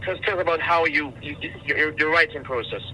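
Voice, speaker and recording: A man's voice: fairly high pitch, fairly quick, pushed and bright, slight background noise, rough recording. A man hosting a radio interview, friendly and stammering, dropping in patois phrases. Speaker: radio host.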